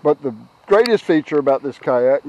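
Halting middle-aged man, higher-pitched mellow voice. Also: lively intonation, slight background noise, rough recording. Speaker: product reviewer, kayak owner. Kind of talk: casual unscripted product walkthrough. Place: outdoors on a grassy yard. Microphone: handheld camera's built-in microphone.

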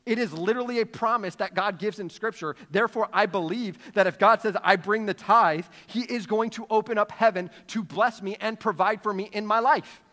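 A man's voice: sits high, fairly quick, hardly any pauses, bright, forceful tone. An American man in his thirties preaching as a pastor in a conversational yet emphatic way, slipping into casual storytelling from a church stage. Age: 30s. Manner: conversational, emphatic, checking in with "okay?" and "right?". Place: large church auditorium stage. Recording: long hall reverb.

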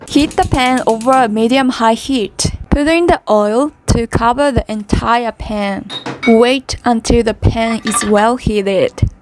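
Slow-spoken young woman, cooking vlog. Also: fairly high pitch, a little hiss or hum. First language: Japanese. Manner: slow, careful instructional delivery. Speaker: cooking vlogger. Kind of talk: casual cooking vlog with step-by-step instructions. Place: small home kitchen, indoors.

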